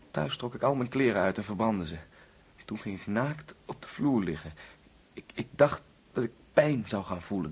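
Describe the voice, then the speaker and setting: A man acting in a radio drama as an anguished young man confessing, halting and intense as he repeats his words. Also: fairly low pitch, slightly rough.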